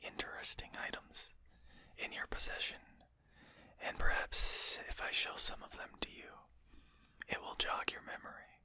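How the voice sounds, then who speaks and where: A performer voicing a courteous, composed vampire lord in a breathy whisper, speaking formally and politely for an ASMR roleplay. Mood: calm, courteous menace. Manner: polite, formal, unhurried hushed speech.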